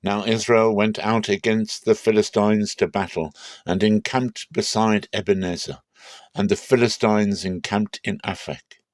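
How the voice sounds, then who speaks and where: A man with a lowish voice reads scripture as drama. His delivery is measured and solemn but expressive, and the sound is dry and close, free of room echo. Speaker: Bible reader.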